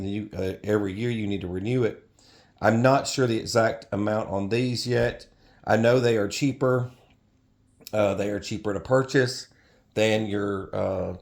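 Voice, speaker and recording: A man in middle age with a fairly low-pitched voice talks through a product review off the cuff. His delivery is informal and rambling, and he tends to correct himself mid-thought. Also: a little mellow.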